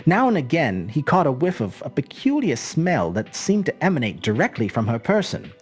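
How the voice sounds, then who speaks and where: Husky, expressive woman storyteller. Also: deep voice, moderate pace, faint music behind. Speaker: story narrator. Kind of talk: expressive literary narration.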